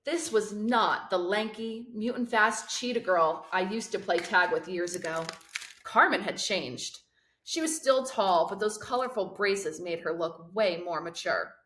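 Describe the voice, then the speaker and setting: A woman narrates a children's novel in an expressive read-aloud style. Her voice sits at a middle pitch, and the recording has a clearly roomy, echoing sound. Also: a little slow.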